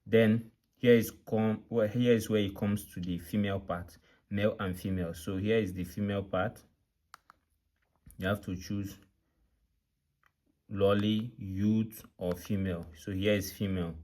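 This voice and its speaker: A man's voice: fairly low pitch, fairly even intonation, unhurried, long pauses, mellow. A man presenting an unscripted product demonstration, explaining step by step with repetition and often checking that viewers follow. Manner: frequently checks understanding with short questions. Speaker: tutorial presenter.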